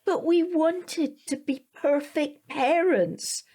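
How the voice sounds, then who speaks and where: A slow, high, fretful mother. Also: mellow. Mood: despairing. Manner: fretful, hesitant, breaking into whispers. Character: anxious, worn-down mother frightened of her son. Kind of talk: audio drama acting.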